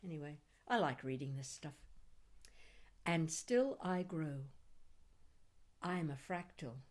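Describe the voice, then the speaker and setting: Slow-paced woman reading poetry expressively. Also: low-pitched, lively intonation. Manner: deliberate, measured phrasing with dramatic pauses. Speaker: poet reading own work. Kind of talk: expressive poetry reading with brief chatty asides.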